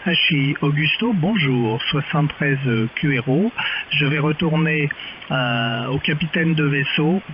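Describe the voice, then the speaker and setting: A man chatting casually as an amateur radio operator, speaking loudly into his microphone over a two-way radio. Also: on the high side, measured pace. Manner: loud into the microphone. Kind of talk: casual radio chat with call-and-return turns. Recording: muffled, noisy two-way radio transmission.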